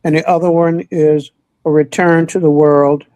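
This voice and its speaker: A woman with a hoarse voice presenting a word-of-the-day segment on a talk show, speaking deliberately and spelling words out. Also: low voice, steady tone, mellow, no room sound. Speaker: radio show co-host.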